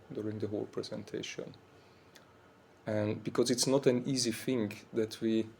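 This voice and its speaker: A man in his forties, a monk from Austria, giving an informal university talk in English with an Austrian German accent. His voice is slightly weak, and he speaks haltingly, searching for words and often saying "like.". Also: medium pitch, a little hiss or hum. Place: university lecture room.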